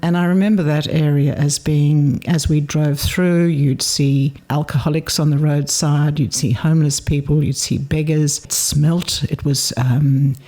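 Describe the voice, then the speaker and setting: A woman in her seventies from South Africa, reminiscing in an interview, telling her story in a reflective, measured way with pauses. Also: low-pitched, steady tone, warm tone. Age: 70s.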